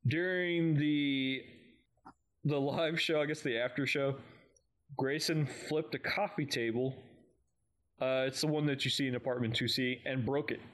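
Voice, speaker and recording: A man's voice: mid-range voice, fairly even intonation, measured pace, fairly bright tone. A man with a mid-range voice co-hosts a fan podcast. He speaks in an informal, conversational way, as in a casual chat between fans.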